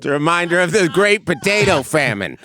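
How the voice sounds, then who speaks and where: A man co-hosting a comedy talk show, delivering crude jokes in a dry, deadpan way. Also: a little high, brisk, a crowd in the background.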